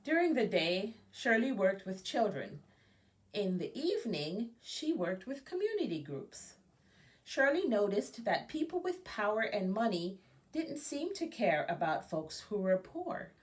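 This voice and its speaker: A woman reading a children's picture book aloud, phrasing deliberately and stressing key words. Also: mid-range voice, very animated, distant mic. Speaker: guest storybook reader.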